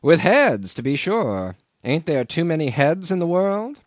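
A man's voice: a little high, big pitch swings, a little slow, no echo, studio quality, pitched above the performer's own voice. A man voicing a folksy, teasing old male innkeeper with a rustic drawl, in an audiobook reading.

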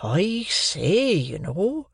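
A male reader plays a kindly, simple country man from a classic novel. He talks slowly and solemnly in a raised voice, full of earnest worry and homespun, uneducated phrasing. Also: high-pitched, huge pitch swings, vocal fry, husky, dry recording, studio quality, pitched above the performer's own voice, full character voice. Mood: aghast, remonstrating. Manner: slow, solemn, rustic uneducated speech.

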